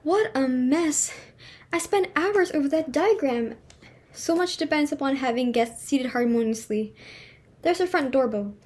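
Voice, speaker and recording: A woman's voice: high-pitched, lively intonation, a little slow, darkish tone. A woman playing a haughty, easily flustered society hostess mother in a stage comedy reading, scolding and indignant. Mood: irritated.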